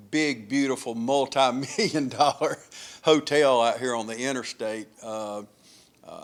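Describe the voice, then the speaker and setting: Folksy local TV interviewer, man. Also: medium pitch. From Dublin, US. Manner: folksy, rambling, affirming.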